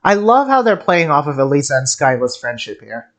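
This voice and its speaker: A host talking casually and a little haltingly, reacting to a video game as commentary. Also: medium pitch, sing-song. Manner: halting, hesitant, giggly.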